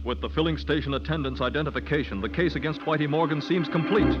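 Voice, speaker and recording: Higher-voiced vintage male radio announcer. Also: quick pace. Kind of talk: 1940s radio announcing, scripted narration. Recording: muffled old radio recording, loud music.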